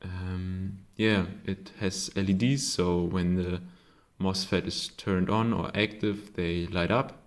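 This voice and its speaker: German man, non-native English, unhurried maker explaining his board, stop-start delivery. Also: deep voice, steady tone. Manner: hesitant, many ums and self-corrections. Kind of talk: casual show-and-tell.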